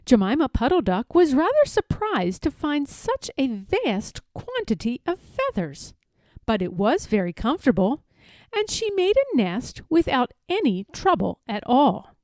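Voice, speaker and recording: Animated woman reading a storybook. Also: high-pitched, a little slow, dry recording. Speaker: storybook reader. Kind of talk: expressive scripted children's story narration.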